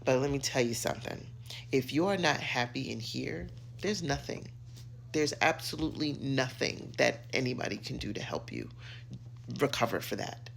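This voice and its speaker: Middle-aged woman, American accent, gravelly creaky voice, sassy theatrical rant, dramatic pauses, faint background noise. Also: extremely low pitch, lively intonation, poor audio. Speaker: social media commentator. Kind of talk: opinionated rant to camera.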